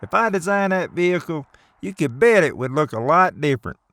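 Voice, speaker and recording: Folksy, high-pitched, opinionated gearhead man acted. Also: expressive, brisk, fairly bright tone. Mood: scornful boasting. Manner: folksy, blunt, boastful. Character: opinionated, folksy American muscle-car enthusiast. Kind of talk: animated comedy dialogue.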